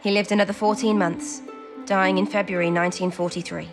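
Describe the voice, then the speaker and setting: An actress playing an eager, warm-hearted young female codebreaker in a wartime audio drama. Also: medium pitch, steady tone, fairly quick, over music, close to an everyday voice. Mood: reflective. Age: young adult.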